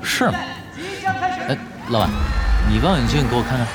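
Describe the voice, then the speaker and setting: A man's voice: mid-range voice, expressive, normal speed, loud music behind the voice, a loud crowd, natural voice. A man playing an easygoing young spectator in a radio drama, casual and offhand.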